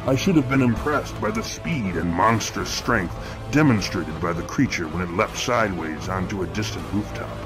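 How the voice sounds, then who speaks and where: Man acting a grim eloquent killer, very low voice, measured theatrical horror narration, music behind. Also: close to an everyday voice. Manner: dark, measured, florid, theatrical. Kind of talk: scripted horror fiction narration.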